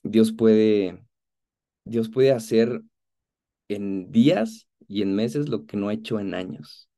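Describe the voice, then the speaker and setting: Man around thirty, Mexican accent, lowish pitch, earnest testimony, informal, video call. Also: slow pace, dark tone. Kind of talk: earnest personal testimony, conversational. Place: indoor room at home, on a video call.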